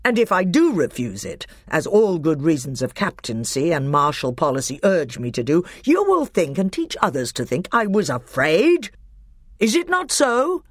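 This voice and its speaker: A furious, proud king who growls and roars, his voice leaping upward as he rages, put on by a man reading the story. The pitch swings widely with each outburst. The sound is dry and close, with no room around it. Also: sing-song, moderate pace, no echo. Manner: growling, roaring, blustering. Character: angry proud middle-aged male usurper king.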